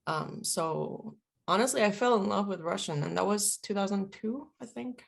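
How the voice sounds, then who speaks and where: A woman's voice: mid-range voice, normal speed, creaky. A woman of about forty from Puerto Rico, a native Spanish speaker, talking reflectively and casually in an interview with lots of fillers. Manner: reflective, chatty, many fillers. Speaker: guest, veteran and linguist.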